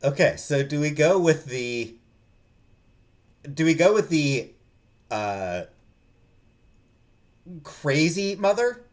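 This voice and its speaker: A man's voice: fairly high pitch, very animated, takes it slowly, pauses often. A man streaming a visual novel, switching between casual chat with viewers and reading narration aloud.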